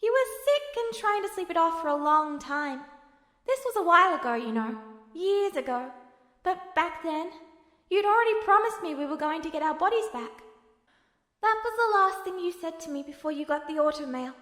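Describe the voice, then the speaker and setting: Woman voicing gentle high-pitched boy in armor, Al. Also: expressive, normal speed, reverberant, in a large hall. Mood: wistful. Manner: earnest, gentle, hesitant. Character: gentle young boy's soul in a suit of armor.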